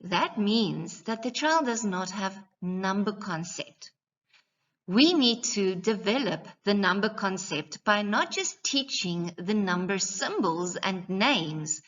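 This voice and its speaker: A woman's voice: mid-range voice, expressive, slow, a bit bright, lo-fi sound. A woman teacher giving a scripted presentation to parents in a didactic, carefully enunciated way.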